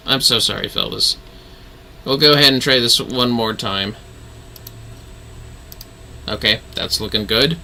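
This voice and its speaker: A man's voice: mid-range voice, bright, forceful tone, a little hiss or hum. A man chatting casually as he hosts a game livestream, informal and apologetic, swearing freely. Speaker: livestream host playing a video game.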